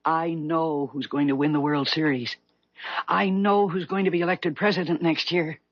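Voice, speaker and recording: An actress playing a worn, desperate woman of forty-three in a 1970s radio drama, with a husky voice. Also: sits low, steady tone, moderate pace, dry recording. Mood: urgent, pleading. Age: middle-aged. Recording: muffled vintage radio recording with music.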